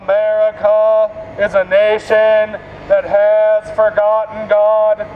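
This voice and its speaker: A man's voice: high voice, completely flat delivery, slow pace. A man preaching an open-air evangelistic sermon through a bullhorn in a solemn, declamatory, repetitive manner, outdoors on a city street. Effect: amplified through a bullhorn. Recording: dull bullhorn sound with street traffic noise. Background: street and vehicle noise.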